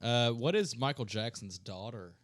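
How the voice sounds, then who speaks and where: A man's voice: mid-range voice, lively intonation, moderate pace, dry recording, studio quality. A tipsy man co-hosting a podcast, laid-back and playful, chiming in often. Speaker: podcast co-host. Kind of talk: casual chat.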